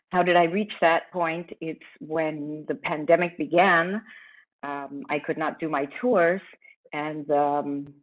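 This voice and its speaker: Woman on a tinny video call. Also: sits low. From Argentina. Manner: friendly, chatty, many ums and restarts. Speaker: tour guide presenting online. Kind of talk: conference presentation over video call. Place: indoor room at home. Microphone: laptop webcam on a video call.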